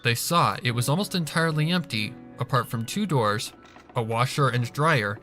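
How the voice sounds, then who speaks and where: A man's voice: medium pitch, animated, normal speed, bright, forceful tone. A man reading a fan fiction story aloud as scripted narration. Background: music.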